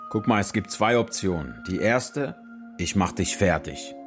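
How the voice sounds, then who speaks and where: An actor in a radio drama playing a smug Syrian army officer who talks in a condescending, mock-friendly, persuasive way. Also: male voice, low voice, expressive, unhurried, soft background music. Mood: coolly threatening. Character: Syrian army officer, smug, calculating, coolly menacing blackmailer. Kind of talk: radio drama acting, naturalistic dialogue.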